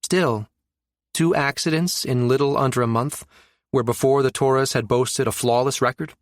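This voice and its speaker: A man's voice: medium pitch, moderate pace, no echo, studio quality, natural voice. A man voicing a skeptical, wry professional woman who muses emphatically, in an audiobook. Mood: puzzled, probing. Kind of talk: audiobook character dialogue.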